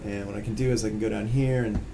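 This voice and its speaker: A man teaching music production in a casual, relaxed live-streamed software tutorial, explaining as he works on screen. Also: on the low side, fast talker, very noisy.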